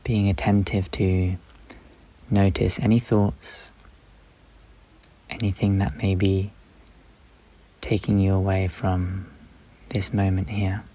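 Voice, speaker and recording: A man guides a meditation in a low voice with very little melodic movement. He gives gentle step-by-step instructions in short, unhurried phrases, leaving long pauses between them. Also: little pitch movement, rough recording.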